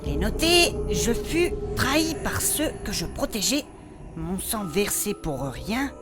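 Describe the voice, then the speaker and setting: A woman playing a sensible, inquisitive young adventurer in a comedic fantasy audio drama, with a brisk, practical manner. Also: medium pitch, big pitch swings. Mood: solemn reading. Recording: music behind the voice.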